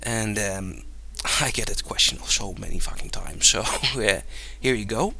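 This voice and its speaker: A man's voice: on the low side, measured pace. A young man with a Dutch accent, casually narrating a game tutorial over his screen, rambling with lots of fillers and little chuckles. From Netherlands. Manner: relaxed, rambling, many fillers, chuckles often.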